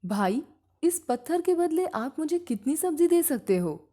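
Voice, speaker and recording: Woman as humble storybook shop worker, direct and questioning, lively intonation. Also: high voice, measured pace, voice pushed up. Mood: curious. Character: earnest, questioning underpaid male shop worker.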